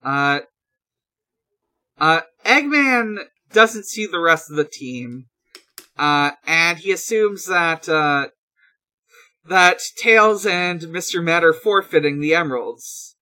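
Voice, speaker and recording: Bright voice, slow and halting, podcast host doing a casual recap. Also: mid-range voice, animated. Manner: halting, hesitant recounting. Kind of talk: casual episode recap chat.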